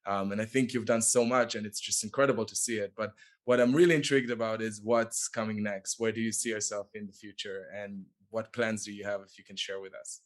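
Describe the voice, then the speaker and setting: A man hosting a conversational interview, forming his questions haltingly and repeating words as he goes. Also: fairly low pitch, steady tone. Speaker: show host, interviewer.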